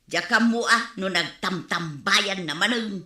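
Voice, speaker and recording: A woman's voice: medium pitch, a little slow, sharp tone, reverberant. An actress playing a fed-up wife who scolds and complains about her lazy husband, with an Ilocano accent, in a radio comedy drama. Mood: complaining. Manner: nagging, complaining.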